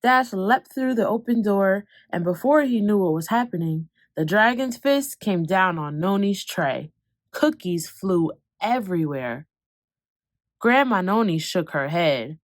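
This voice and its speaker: A woman reading a children's picture book aloud, expressive, slowing down for calm moments and speeding up for excitement. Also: medium pitch, no echo. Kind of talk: read-aloud storytelling.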